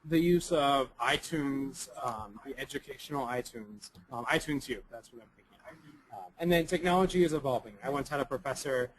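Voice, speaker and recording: Young guy a bit raspy from a cold, giving a talk, lots of ums and restarting sentences. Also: mid-range voice, slight background noise, low-quality recording. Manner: halting, many ums and restarts. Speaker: presenter. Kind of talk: recorded conference presentation.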